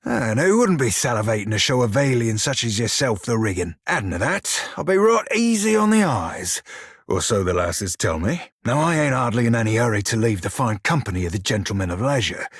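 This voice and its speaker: Roguish shiphunter, game character, rough husky voice, salty slang, cocky, dry studio sound. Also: male voice, mid-range voice, sing-song, normal speed, a bit bright, no echo, heavily acted. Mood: sly, teasing. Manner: salty archaic sailor slang, cocky and teasing. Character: swaggering, foul-mouthed blue-furred male pirate shiphunter, roguish. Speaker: video game companion character. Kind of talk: video game character dialogue and barks.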